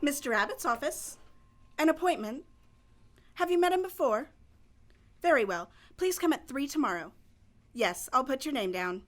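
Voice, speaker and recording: A brisk, courteous young receptionist, as a man puts her on in a radio drama. She speaks in a high, prim falsetto. Her manner stays neat and polite. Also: animated, fairly bright tone.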